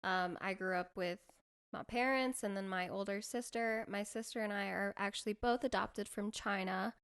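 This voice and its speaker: A woman in her twenties, co-hosting a podcast and chatting about herself in a relaxed way. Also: mid-range voice, normal speed, smooth. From Colorado, USA. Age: 20s. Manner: relaxed, chatty, laughs between words. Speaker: podcast co-host. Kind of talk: casual chat.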